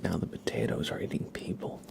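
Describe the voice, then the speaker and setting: A man whispering slowly and playfully in an ASMR roleplay style, telling absurd stories with a straight face. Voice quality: breathy whisper with creaky edges. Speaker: ASMR livestream host.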